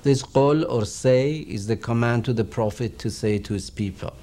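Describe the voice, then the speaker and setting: Man, Persian accent, mellow warm voice, slow measured lecture, reverent. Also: medium pitch. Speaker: Quran teacher. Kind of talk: recorded religious lecture.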